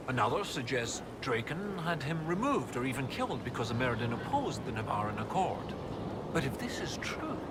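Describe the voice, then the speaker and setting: An actor voicing a bookish, excitable male historian in a video game, speaking in precise, scholarly phrases. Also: medium pitch, very noisy, natural voice. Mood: excited. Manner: scholarly, animated, precise wording. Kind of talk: video game dialogue acting.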